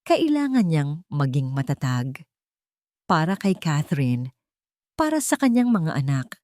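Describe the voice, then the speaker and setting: Female storyteller, lower register, close dry recording, scripted dramatic narration. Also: very animated, measured pace, a little mellow, dry recording.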